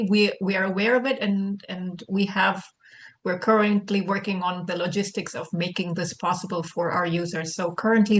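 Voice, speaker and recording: A woman answering a question at a conference panel in a careful, formal way, repeating words as she finds her phrasing. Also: medium pitch.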